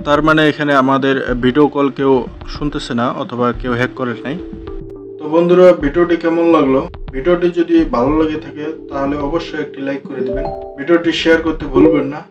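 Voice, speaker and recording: Fast-talking Bangladeshi man giving a tutorial. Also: on the high side, fairly even intonation. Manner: instructional, repetitive step-by-step phrasing. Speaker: tech tutorial host. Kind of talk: step-by-step screen tutorial narration. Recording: dull lo-fi voice-over with music behind. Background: clear background music.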